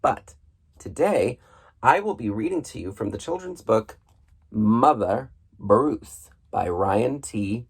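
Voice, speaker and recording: A man reading a children's book aloud in an expressive, playful storybook style, with a husky voice. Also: on the low side, takes it slowly. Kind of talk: animated storybook read-aloud.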